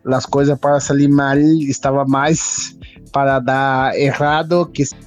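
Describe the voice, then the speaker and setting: A man's voice: medium pitch, little pitch movement, takes it slowly. A Brazilian man, a native Portuguese speaker, casually reminiscing as an interview guest and mixing two languages. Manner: mixes Portuguese and Spanish. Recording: dull lo-fi audio, music behind.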